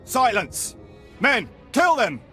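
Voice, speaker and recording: An actor voicing an imperious Imperial officer in a video game, commanding and declamatory. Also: male voice, extremely high pitch, wildly swooping pitch, slow pace, bright, forceful tone, faint music behind, exaggerated voice. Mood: stern, commanding.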